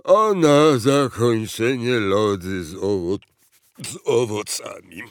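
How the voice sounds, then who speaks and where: Man as a slow, gluttonous fat president. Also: fairly low pitch, no echo, clean studio sound. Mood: greedy, savouring. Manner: laboured, sighing, halting. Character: fat, lazy, grumpy, gluttonous male president.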